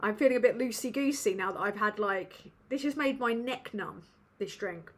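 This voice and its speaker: A woman's voice: mid-range voice, normal speed. A woman with a British English accent hosting a casual livestream Q&A from a dim room at home, rambling and sweary with lots of ums. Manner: rambling and sweary, with many fillers. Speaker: livestream host.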